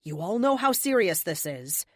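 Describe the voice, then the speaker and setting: A woman's voice: lowish voice, very animated, normal speed, no echo, clean studio sound. A woman voicing a stern, commanding young superheroine who speaks in firm, short phrases, in an audiobook. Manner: firm, clipped orders.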